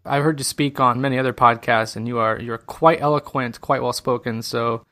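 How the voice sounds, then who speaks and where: A man's voice: medium pitch, steady tone, moderate pace, fairly bright tone. An American man hosting a podcast, introducing and casually interviewing a guest.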